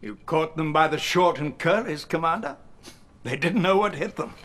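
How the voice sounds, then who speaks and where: An actor playing a stern allied leader who gives firm orders to a commander. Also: male voice, fairly high pitch, lively intonation, moderate pace, a bit bright. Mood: commanding.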